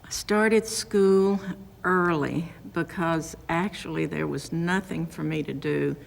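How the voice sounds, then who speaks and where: Older woman, low crackly gravelly voice, bit of reverb on it. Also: takes it slowly. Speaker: Holocaust survivor interviewee. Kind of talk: testimony interview.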